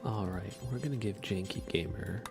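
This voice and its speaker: A man doing soft, hushed, unhurried ASMR commentary as he streams a game, musing aloud. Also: on the low side, vocal fry, music in the background. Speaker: live streamer.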